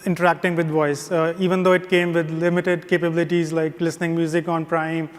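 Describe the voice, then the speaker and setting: Flat-voiced man presenting in a hall. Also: a little high, fairly quick. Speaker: conference presenter. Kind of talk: conference talk. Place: large conference hall. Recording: long reverb of a big hall.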